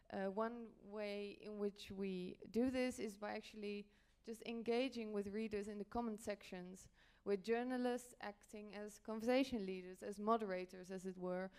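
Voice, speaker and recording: A woman's voice: mid-range voice, warm tone, clear, resonant tone. A woman from the Netherlands giving a conference presentation as a panel speaker, a little hesitant and stopping to reword as she goes. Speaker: panel speaker, journalism editor.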